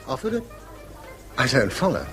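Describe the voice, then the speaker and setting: A man's voice: mid-range voice, huge pitch swings, moderate pace, fairly bright tone. An actor playing a proud, disapproving middle-aged English schoolmaster father, speaking formally in a period radio drama. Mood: cold, sarcastic. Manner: formal, clipped, reproachful.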